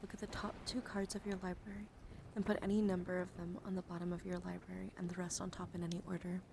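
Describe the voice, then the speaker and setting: A woman livestream host speaking softly and gently in an ASMR style, often slipping into whispers, close to the microphone. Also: fairly low pitch, level tone, fast. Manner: frequently drops into whispers. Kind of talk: soft-spoken ASMR card reading and casual stream chat. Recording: clear background ambient noise.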